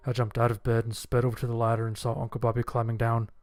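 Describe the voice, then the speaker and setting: A man's voice: medium pitch, completely flat delivery, quick pace, a little mellow. A man narrating a scripted horror story in the first person.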